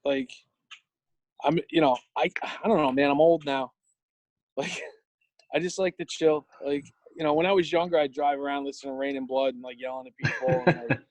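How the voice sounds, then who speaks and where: A man's voice: fairly high pitch, steady tone, moderate pace, a little mellow. A man in his forties with a New York accent, chatting as a podcast guest in a rambling way, full of fillers and casual swearing. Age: 40s. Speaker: guest, tattoo shop owner.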